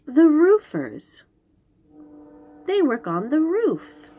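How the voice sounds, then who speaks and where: Slow, animated woman reading to children. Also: high-pitched, phone-quality sound, soft background music. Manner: instructive, questioning, enunciates key words for small children. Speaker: host reading picture books aloud to young children. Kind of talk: read-aloud storytime with simple explanations.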